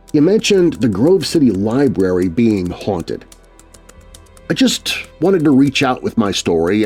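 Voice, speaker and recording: Male narrator, middle pitch with an animated range, expressive read of letters for a kids' scary podcast. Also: slightly rough. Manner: expressive reading of letters aloud. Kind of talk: scripted storytelling narration.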